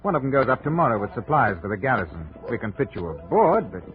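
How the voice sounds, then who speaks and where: A muffled vintage radio recording of a man acting as a British colonial company man. He is chatty, homesick and fussy, his pitch swinging widely as he rambles and hesitates through a radio play. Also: big pitch swings, fast talker, soft background music, natural voice. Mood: fussy warning. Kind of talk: old-time radio drama acting.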